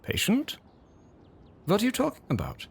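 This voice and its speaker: An actor playing a polite, puzzled innkeeper in an audio drama, with loud music behind him. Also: male voice, high-pitched, wildly swooping pitch, moderate pace, vocal fry, husky, full character voice. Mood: polite bafflement.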